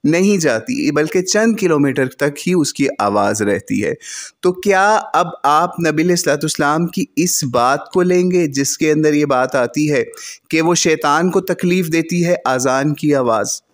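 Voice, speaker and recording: A man's voice: on the high side, lively intonation, a little slow. A man who cites scripture by number and argues a religious point in an earnest, lecturing way. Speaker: religious commentator. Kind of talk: argumentative religious rebuttal.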